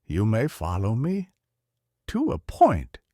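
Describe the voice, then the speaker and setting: An old man voicing a wise, gentle lion king. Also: on the low side, slow pace, no echo, clean studio sound, heavily acted. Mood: solemn tender. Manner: calm, solemn, tender.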